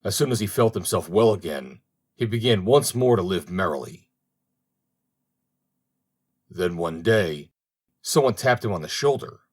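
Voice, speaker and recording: Male storybook narrator, somewhat low register, scripted fairy-tale reading. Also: lively intonation, unhurried, pauses often, a little mellow, husky. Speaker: audiobook narrator.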